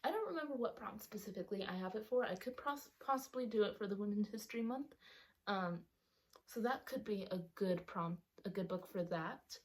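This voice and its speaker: A woman's voice: medium pitch, lively intonation, a little fast. A young woman chatting casually in a book vlog, rambling and correcting herself with lots of ums, her speech a little altered by the retainer she is wearing. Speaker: book vlogger. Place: outdoors or by a sunny window at home.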